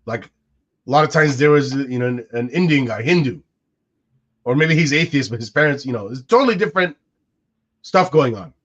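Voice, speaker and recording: A man's voice: medium pitch, expressive, moderate pace, long pauses, fairly bright tone. A man in his forties whose first language is Arabic, musing aloud in a casual podcast conversation with frequent restarts. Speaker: co-host. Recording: dull lo-fi sound.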